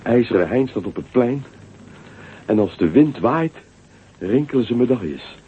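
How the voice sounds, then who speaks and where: A man's voice: mid-range voice, expressive, moderate pace, slightly rough. An actor playing a timid young man who is afraid of heights and stammers with fear in a radio play. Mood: worried. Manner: stammering, fearful. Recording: tinny radio recording with faint music.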